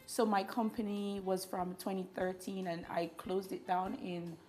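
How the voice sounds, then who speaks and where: A woman's voice: mid-range voice, fairly even intonation, measured pace, darkish tone, faint music behind. Bubbly woman, laughs often. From Jamaica. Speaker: guest, vintage fashion blogger. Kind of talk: casual morning TV interview. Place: TV studio.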